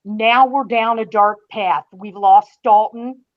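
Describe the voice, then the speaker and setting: A woman giving her review as a podcast guest, speaking in casual discussion with measured, emphatic phrasing. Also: on the high side, fairly even intonation, phone-quality sound.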